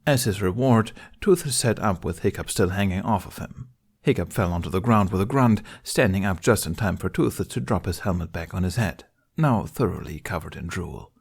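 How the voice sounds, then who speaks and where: A man reading scripted fiction aloud as an audiobook narrator, in a measured storytelling manner. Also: low-pitched, expressive, vocal fry, breathy edge.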